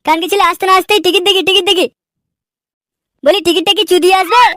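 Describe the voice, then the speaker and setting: Squeaky, hot-headed cartoon troublemaker. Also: male voice, steady tone, fast talker, bright, forceful tone, no echo, studio quality. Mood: defiant, angry. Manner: brash, aggressive, threatening. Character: foul-mouthed, hot-headed young male cartoon troublemaker. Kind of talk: comedy cartoon dubbing.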